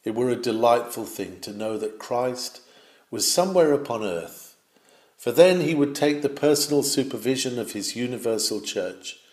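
A man reads a sermon aloud in a solemn, declamatory manner, now and then rising in fervour. His voice is of middle pitch and carries a roomy echo. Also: a little mellow. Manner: measured and declamatory, with swells of fervour. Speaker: reader of a historic sermon. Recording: roomy, echoing sound.

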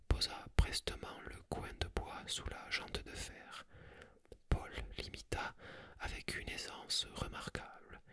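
A reader whispering a literary story in a measured, deliberate way, in an ASMR style. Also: bright, forceful tone, dry recording.

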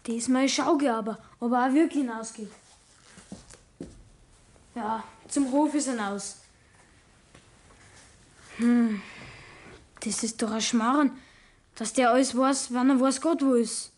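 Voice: medium pitch, takes it slowly, pauses often, a little hiss or hum, natural voice. A young performer playing a cheeky, sullen teenage apprentice boy with a Bavarian accent in a 1960s radio play, defiant and grumbling.

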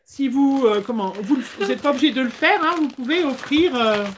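A woman, chatting casually as the host of a craft livestream, with hesitant pauses. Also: high voice, animated, a little hiss or hum. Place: small indoor craft room.

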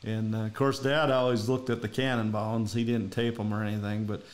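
A man's voice: lowish voice, little pitch movement, fairly quick. A middle-aged man from Minnesota with a Minnesotan accent, hosting a podcast in casual, rambling talk from home over a video call. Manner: rambling, folksy, many fillers. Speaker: podcast host. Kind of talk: casual interview chat.

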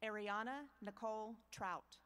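A woman dean reading out graduates' names slowly and formally at an outdoor commencement ceremony, speaking into a podium microphone. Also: medium pitch, fairly bright tone, clean tone. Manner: slow, measured, pausing between names.

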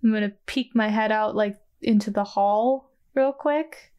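A woman's voice: medium pitch, a little slow, darkish tone, clear, resonant tone, muffled recording. A woman playing in a tabletop role-playing game, casually describing her moves as she thinks aloud, a little hesitantly. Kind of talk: casual improvised actual-play chat.

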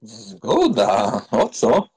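A man playing a nonchalant, cocksure young aristocratic womanizer in a dramatized audiobook, careless and teasing, with a husky voice. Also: sits high, darkish tone, exaggerated voice. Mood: cocky. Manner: careless, teasing, self-assured.

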